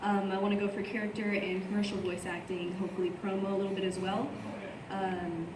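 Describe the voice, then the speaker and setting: A woman's voice: medium pitch, flat delivery, fairly quick. A woman from the audience hesitantly asking an informal question at a convention panel. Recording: distant, echoey hall, clear noise.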